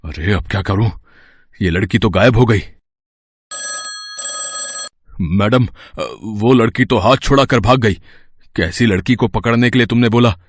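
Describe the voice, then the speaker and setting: A man's voice: on the low side, moderate pace, breathy edge, no echo. A man playing a menacing street goon in a cartoon moral story, threatening and demanding. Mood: annoyed, demanding. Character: menacing street goon who deals in children. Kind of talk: Hindi animated moral story acting.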